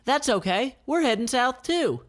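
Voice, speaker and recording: Comic read-aloud role: male reader as cheerful young woman musician, high, friendly, keen. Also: lively intonation, a little slow. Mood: eager, friendly.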